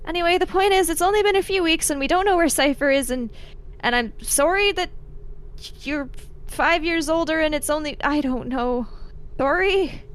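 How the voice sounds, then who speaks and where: A woman's voice: sits high, normal speed, voice pushed up, natural voice. A woman playing a frank young female thief in a tabletop game, blunt but hesitant. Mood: apologetic.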